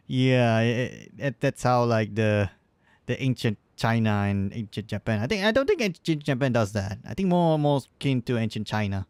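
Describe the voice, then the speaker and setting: A man's voice: medium pitch, very animated, moderate pace, darkish tone, no echo. A man giving casual, rambling live commentary on a game stream, swearing freely at times.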